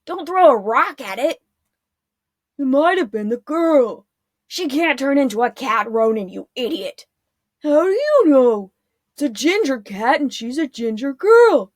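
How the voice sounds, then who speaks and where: A woman voicing gruff, bossy male town guards who bark loud demands. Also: high-pitched, very animated, unhurried, no room sound, clean studio sound.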